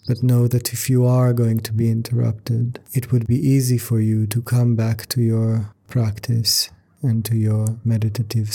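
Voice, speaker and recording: Slow, mellow man guiding meditation. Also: medium pitch. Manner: calm, gentle, measured phrasing with pauses. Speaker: yoga nidra meditation teacher. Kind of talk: guided meditation instruction.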